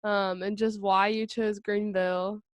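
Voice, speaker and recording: A woman's voice: mid-range voice, level tone, unhurried, smooth, no echo. A young woman hosting a casual podcast chat in a friendly way, laughing often. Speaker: podcast host.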